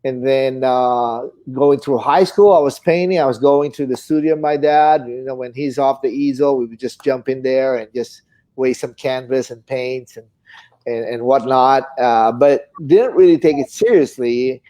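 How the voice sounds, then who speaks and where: A man's voice: medium pitch, normal speed, mellow. A middle-aged man from the Philippines with a Filipino accent, chatting casually in an easygoing, rambling storytelling way as an interview guest. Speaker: guest, fine artist painter. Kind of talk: casual interview conversation, reminiscing.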